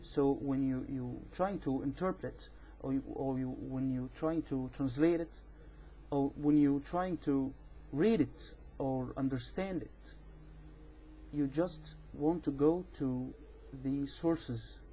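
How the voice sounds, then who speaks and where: A man with an Egyptian accent, a native Arabic speaker, giving an informal explanatory rebuttal in a halting, repetitive, insistent way. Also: mid-range voice, mellow, a little hiss or hum. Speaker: amateur video responder on religion.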